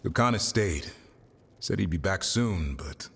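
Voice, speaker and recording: Gruff, wary male warden for a fantasy game; very deep, swooping pitch, husky. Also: expressive, a little slow, a bit bright, in a large hall, heavily acted. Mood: wary, grim. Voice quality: husky, rough. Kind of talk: video game dialogue.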